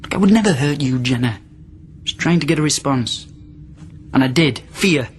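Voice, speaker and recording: A man's voice: fairly high pitch, animated, moderate pace, a little hiss or hum, lightly acted. A man acting in a radio drama as a volatile captive from centuries past, probing and rambling, swinging between tender and menacing. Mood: intense, accusing.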